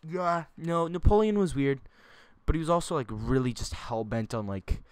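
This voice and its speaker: A man's voice: fairly high pitch, normal speed, clean tone, dry recording, studio quality. A young man hosting a casual chat, rambling and joking with lots of fillers. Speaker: host.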